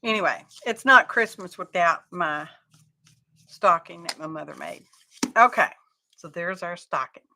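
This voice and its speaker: A woman's voice: on the low side, animated, a little slow, pushed and bright, a little hiss or hum. A middle-aged woman hosting a craft live stream, chatting casually and rambling to her viewers as she works.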